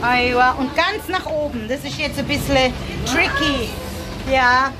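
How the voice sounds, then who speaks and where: A woman's voice: high-pitched, unhurried. A middle-aged woman from Germany vlogging with a phone held at arm's length, chatting casually and dropping in Arabic words as she walks around. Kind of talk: casual vlog chat. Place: busy city street and restaurant, outdoors and in. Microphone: handheld phone at arm's length. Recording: loud street crowd and noise.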